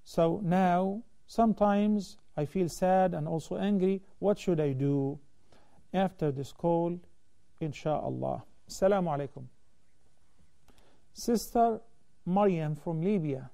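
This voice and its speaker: A man in his thirties, an Islamic scholar hosting a call-in TV show, explaining rulings in a measured way from a studio desk on a lapel mic. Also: high-pitched, vocal fry, mellow. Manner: measured, explanatory, gestures while talking. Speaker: Islamic scholar answering viewer questions. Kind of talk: call-in religious Q&A.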